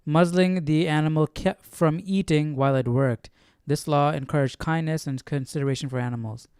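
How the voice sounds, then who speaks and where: A man's voice: fairly high pitch, fairly even intonation, moderate pace, a little mellow, smooth, no room sound, studio quality. A man hosting a Bible study stream, casually reading verses and musing aloud with questions about them.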